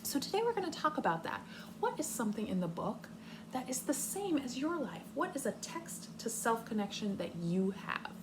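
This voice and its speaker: Woman, high lively voice, kindergarten read-aloud, expressive and deliberate, questions for young listeners, clear background noise. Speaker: kindergarten teacher reading aloud.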